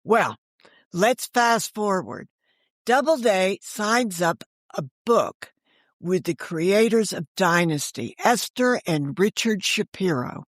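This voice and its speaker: An older woman tells publishing-style anecdotes as an interview guest. Her medium-pitched voice is recorded dry, and she frames her storytelling with rhetorical questions and quoted dialogue. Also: expressive, takes it slowly, no echo. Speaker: guest, author and former book editor. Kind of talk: animated storytelling in a podcast interview.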